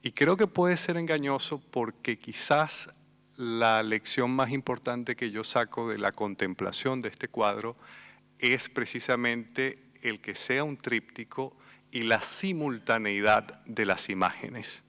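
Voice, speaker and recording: A man from Venezuela with a Venezuelan Spanish accent, speaking thoughtfully on a literary festival panel, pausing and repeating words as he thinks. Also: medium pitch, pushed and bright. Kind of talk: reflective panel talk. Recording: long hall reverb.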